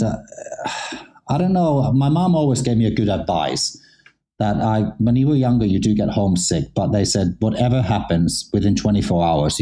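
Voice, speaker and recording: Deep, mellow middle-aged man. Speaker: interviewed athlete, former figure skater. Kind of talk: casual interview storytelling.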